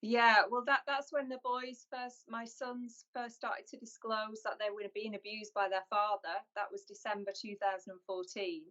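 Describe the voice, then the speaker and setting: A woman from North West England, a guest on a video call interview, speaking in an earnest, measured way. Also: medium pitch, little pitch movement, bright, forceful tone. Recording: lo-fi call audio.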